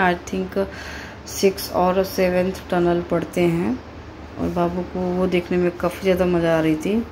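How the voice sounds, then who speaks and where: A woman's voice: lowish voice, darkish tone, slight background noise, rough recording. A woman and mother narrating her family's train journey in a loose, chatty travel-vlog style, mixing in English words and fillers.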